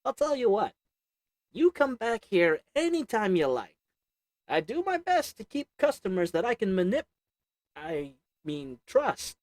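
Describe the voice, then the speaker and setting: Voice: medium pitch, no room sound. A performer voicing a sly, haggling goblin potion merchant who talks to the listener with wheedling, sarcastic salesman patter and little chuckles. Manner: chuckles between lines. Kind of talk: audio roleplay acting to the listener.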